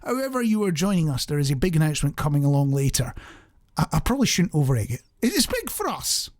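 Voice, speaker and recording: A man's voice: fairly high pitch, big pitch swings, fast, darkish tone. A man hosting a light-hearted radio show, chatting in a jokey, self-deprecating way straight to the listener. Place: radio studio.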